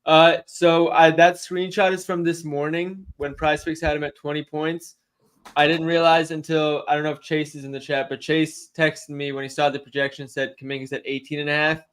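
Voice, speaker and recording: A young man co-hosting a casual sports betting livestream, chatty and quick to laugh while he answers questions from the chat. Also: fairly high pitch, flat delivery, fairly bright tone, clear, resonant tone. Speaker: sports betting stream co-host.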